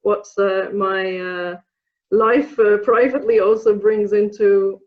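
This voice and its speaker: A woman's voice: mid-range voice, fairly even intonation, takes it slowly, phone-quality sound. A woman from Poland with a Polish accent, in her thirties, answering interview questions thoughtfully, with many fillers and restarts. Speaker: interview guest, program alumna.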